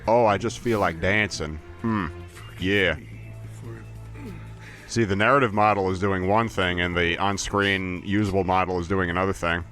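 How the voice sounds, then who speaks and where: A man's voice: low-pitched, steady tone, normal speed, fairly bright tone, soft background music. A man giving playful, theatrical let's play commentary, riffing and joking as he goes. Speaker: gaming commentator.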